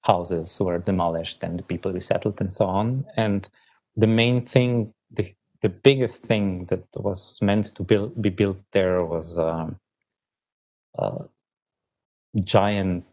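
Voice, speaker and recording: A man in his thirties from Slovenia, answering interview questions in a halting, reflective way, with many pauses and restarts. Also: deep voice, a little mellow. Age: 30s. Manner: halting, thinks aloud with many ums and restarts. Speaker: poet guest.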